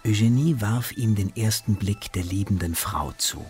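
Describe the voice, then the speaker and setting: A man narrating a literary radio drama in a husky voice. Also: on the low side, normal speed, darkish tone, faint music behind.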